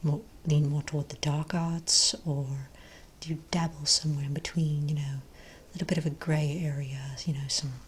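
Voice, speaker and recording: A woman with a low, breathy voice performs a soft-spoken ASMR role play as a friendly keeper of a magic shop. She often drops close to a whisper and rambles gently with hesitant pauses. A faint noise sits behind her voice. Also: little pitch movement. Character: friendly long-established magic wand shop owner.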